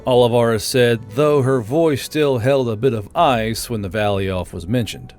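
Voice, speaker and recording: Casting: male audiobook narrator, average pitch, scripted fantasy storytelling with faint music underneath. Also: normal speed, a little mellow.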